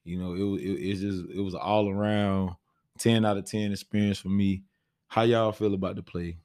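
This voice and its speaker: A man's voice: deep voice, level tone, normal speed, darkish tone, no echo, clean studio sound. An American man hosting a podcast, chatting casually and informally with slang. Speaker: podcast host.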